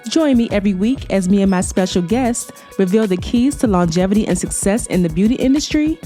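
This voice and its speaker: A woman's voice: medium pitch, lively intonation, fairly quick, dark tone. A woman reading a scripted, upbeat podcast intro. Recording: intro read over clear music.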